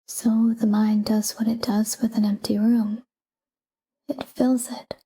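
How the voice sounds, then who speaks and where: A woman guiding a sleep meditation in a calm, hushed, breathy whisper, soothing and soft. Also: a little high, a little slow.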